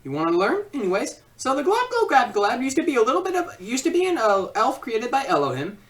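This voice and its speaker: A woman giving a casual, rambling, excitable comedic film review. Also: a little high, brisk, far from the mic.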